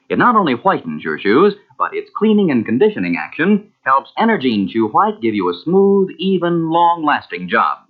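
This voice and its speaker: Very animated American radio ad man. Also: mid-range voice. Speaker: radio commercial announcer. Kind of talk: 1940s scripted radio advertisement. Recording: tinny, narrow old radio recording.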